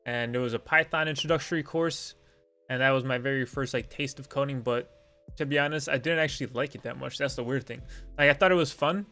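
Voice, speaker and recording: A man's voice: mid-range voice, fairly quick, pushed and bright, faint music behind. A young man whose first language is Lithuanian, hosting a casual live-stream Q and A, rambling and self-deprecating with many fillers.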